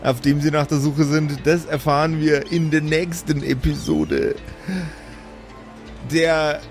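A man's voice: a little high, little pitch movement, takes it slowly, soft background music. A man narrating an improvised tabletop role-playing audio game as game master.

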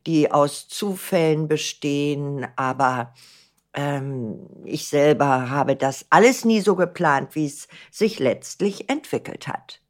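A woman's voice: deep voice, slow. An older woman from Berlin, a guest in a podcast interview, answering in long flowing sentences with blunt, colloquial turns. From Berlin, Germany. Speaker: guest, entertainer.

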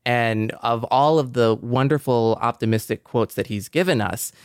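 A man co-hosting a talk show, giving reflective commentary in a measured, earnest way. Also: mid-range voice, clean tone, no echo, studio quality.

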